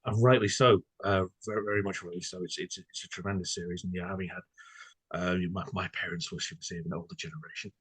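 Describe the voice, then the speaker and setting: A man with a very low, rough-edged voice interviewing a guest. He is courteous and halting, feeling his way through his questions. The sound is close and dry. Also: fairly even intonation, fairly bright tone, dry recording, rough recording. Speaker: podcast interviewer. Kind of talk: conversational interview.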